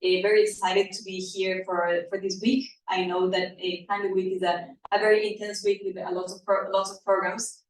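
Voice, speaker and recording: A woman with an Ecuadorian Spanish accent presents earnestly to an audience, repeating words as she searches for the next. Her voice sits at a middle pitch. It is picked up from a distance in a very echoey room, with faint noise behind it. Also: flat delivery, normal speed, few pauses. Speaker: activist, panel speaker at a conference. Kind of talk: public presentation to a live audience. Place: indoor event room.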